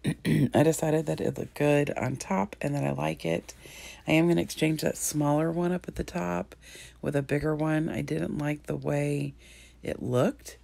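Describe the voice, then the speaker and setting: A woman in her thirties with a low, gravelly, hoarse voice walks through her scrapbook layout in a chatty, meandering way. Her tone is mellow and the sound is dry and close. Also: dry recording. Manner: chatty, rambling, frequent ums and throat-clearing. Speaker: scrapbooking crafter. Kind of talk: casual craft tutorial narration.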